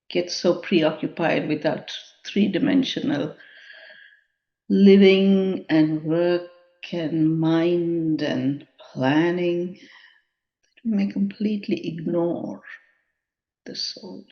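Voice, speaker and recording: A woman leading a guided meditation in a measured, contemplative manner, leaving long pauses between phrases. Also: fairly low pitch. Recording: dull, muffled lo-fi sound.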